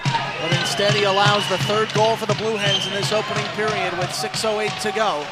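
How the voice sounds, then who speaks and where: A man's voice: high voice, little pitch movement, fairly quick, over loud music. A man calling live ice hockey on the radio as play-by-play announcer, in flowing descriptive calls that build on big plays.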